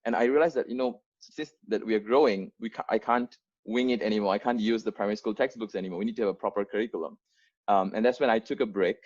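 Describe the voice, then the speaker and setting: A man's voice: lowish voice, fast talker, darkish tone. Earnest young man on a muffled call. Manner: earnest, frequent fillers and restarts. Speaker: founder giving an onboarding talk. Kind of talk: unscripted presentation to volunteers.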